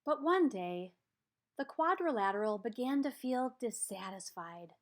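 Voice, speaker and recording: Slow, animated woman reading a storybook. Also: fairly high pitch. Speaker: storybook reader. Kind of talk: expressive children's read-aloud narration.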